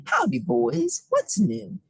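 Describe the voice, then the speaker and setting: A woman reading as a laid-back rancher man from a picture book, drawling and folksy. The voice is breathy and up close in a dry space. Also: a little high, wildly swooping pitch, takes it slowly, no echo, pitched above the performer's own voice, exaggerated voice. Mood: cheerful.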